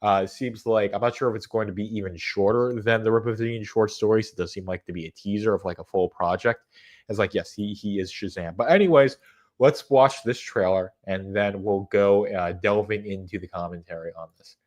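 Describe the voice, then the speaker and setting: Stuffy-nosed guy with a lowish voice rambling on his stream, lots of um and uh. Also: fairly even intonation, a little fast. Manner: rambling, frequent restarts and fillers. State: congested from a cold. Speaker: livestream host. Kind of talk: casual livestream chat.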